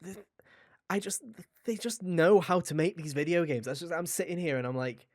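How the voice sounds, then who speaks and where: A man co-hosting a gaming podcast, chatting casually and enthusiastically in an animated, rambling way. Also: a little high, moderate pace, no room sound, studio quality. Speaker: podcast co-host reviewing a video game.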